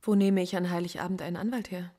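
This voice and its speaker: Woman playing a cool defiant professor being interrogated in a radio play, lower voice, dry and guarded. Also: steady tone, warm tone, lightly acted. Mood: subdued. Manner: measured, guarded, dryly cutting. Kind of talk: naturalistic German radio drama dialogue.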